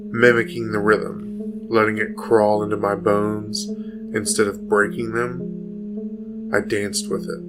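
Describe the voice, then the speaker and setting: An actor playing a haunted, feverish man changed by otherworldly torment, telling his tale with halting, brooding intensity in a gothic audio drama. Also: lowish voice. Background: guitar music.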